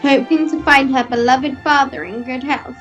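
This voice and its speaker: A woman's voice: high-pitched, fairly even intonation, moderate pace, muffled recording, lightly acted. A woman playing a dramatic, foreboding narrator in a scripted radio melodrama. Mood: foreboding, arch. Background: music.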